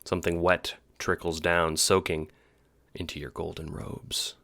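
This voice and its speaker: Deep-voiced man narrating an audio drama. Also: little pitch movement, unhurried, gravelly, pushed and bright. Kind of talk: dramatic scripted second-person narration.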